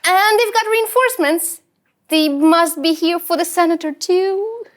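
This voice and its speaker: A woman's voice: very high-pitched, measured pace. A woman playing a timid, eager, polite young starship ensign in a sci-fi cartoon table read, hesitant and awkward. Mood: worried, alert.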